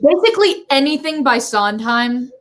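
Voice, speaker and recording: A woman's voice: high-pitched, lively intonation, moderate pace, a bit bright. A woman chatting casually as an interview guest, adding wry, brief remarks. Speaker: interview guest, musical theater writer. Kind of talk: casual chat.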